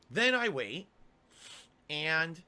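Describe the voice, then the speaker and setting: Animated, bright-voiced man rambling. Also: fairly high pitch, very slow, creaky, clean tone. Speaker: streamer. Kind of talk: clip from a livestream.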